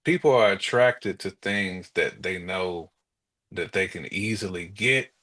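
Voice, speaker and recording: Podcast co-host: American man, slightly deep voice, informal street-smart phrasing, animated storyteller who voices little quotes. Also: measured pace. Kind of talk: casual chat.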